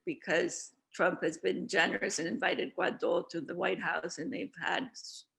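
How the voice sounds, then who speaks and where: A woman's voice: on the low side, fairly even intonation, normal speed, gravelly, a bit bright. A woman speaking as a guest on an online panel, earnest, often repeating words as she starts a thought. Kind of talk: casual online panel discussion.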